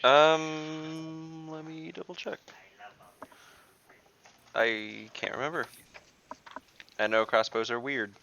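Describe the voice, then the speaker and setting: A man's voice: on the high side, steady tone, slow pace, gravelly, long pauses, sharp tone, lo-fi sound, a little hiss or hum. A man chatting casually as a player in an online tabletop game. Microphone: online call microphone.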